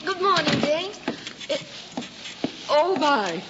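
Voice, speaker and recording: A woman's voice: sits high, sing-song, slow, heavily acted. An actress playing a proper, polite lady schoolteacher with a sense of fun in an old radio drama. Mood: polite, surprised. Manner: proper, genteel. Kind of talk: vintage American radio drama acting. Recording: dull echoing vintage recording, faint noise.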